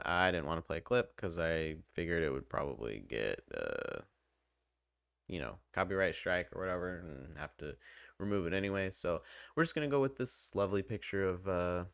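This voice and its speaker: A man's voice: sits low, fairly bright tone, clean tone, dry recording, clean studio sound. A man giving casual, unscripted video game commentary in an informal, hesitant, self-deprecating way. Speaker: video game reviewer and channel host.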